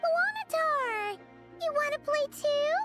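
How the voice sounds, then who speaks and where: A woman voicing a small, bubbly, childlike fairy companion in a video game, excitable and exclamatory. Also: takes it slowly, heavily acted. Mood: curious. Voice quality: squeaky, childlike. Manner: exclamatory, speaks of herself in third person. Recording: music behind the voice.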